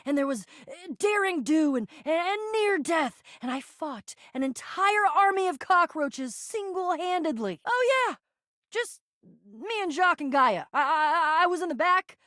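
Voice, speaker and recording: A woman voicing an excitable, bragging adventurer in a video game, earnest and theatrical, often stammering. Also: very high voice, a little slow, no room sound, clean studio sound, heavily acted. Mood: excited, boastful. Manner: stammering, theatrical, swinging from gushing to sulky. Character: excitable, bragging adventurer, a living household object, earnest and dramatic. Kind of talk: video game character voice acting.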